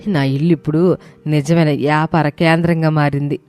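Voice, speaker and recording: A woman's voice: sits low, steady tone, normal speed, a little mellow, soft background music, voice pushed down, close to an everyday voice. A woman voicing a proud, contented elderly mother-in-law in a moral story. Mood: proud, pleased. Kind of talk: moral story character acting.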